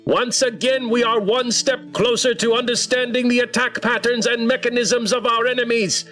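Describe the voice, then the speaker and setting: A man's voice: very high voice, a bit bright, voice pushed up, heavily acted. A man voicing a female sea-creature conqueror in a visual novel, imperious, menacing and grandiose. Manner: grandiose, commanding, theatrical. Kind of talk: acted villain in a visual novel.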